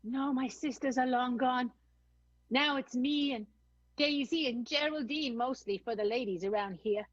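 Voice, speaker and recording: A hard-bitten, flirtatious vaudeville theatre owner, played by a woman in a 1920s radio soap. Her voice is high and husky with lively swings in pitch. It is recorded dry and close, over faint music. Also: unhurried, no room sound, muffled recording. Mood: guarded.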